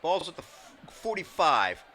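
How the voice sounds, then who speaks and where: A man with a high-pitched voice calls a live football game play by play. He talks in short, clipped phrases that can rise toward a shout. The crowd is clearly heard behind him, with plenty of outdoor noise. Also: very animated, measured pace. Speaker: play-by-play announcer.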